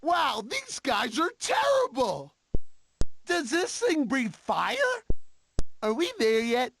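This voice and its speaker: An actor playing a goofy, excitable male possum daredevil in an animated game. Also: very high-pitched, slow pace, gravelly, slight background noise, exaggerated voice. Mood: cheeky. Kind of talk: animated video game character acting.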